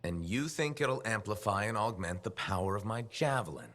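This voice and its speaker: An actor playing a laid-back armored freelancer with dry humor, in a game cutscene. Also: male voice, lowish voice, lively intonation, moderate pace, a bit bright. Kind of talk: video game cutscene acting.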